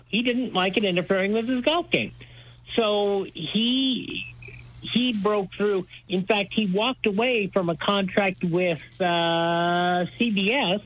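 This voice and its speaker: A man's voice: high-pitched, a bit bright, dry recording, poor audio. An older American man telling stories in a radio interview, with an emphatic, folksy way of putting things. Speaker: radio show host being interviewed as guest. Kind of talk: conversational radio interview, storytelling.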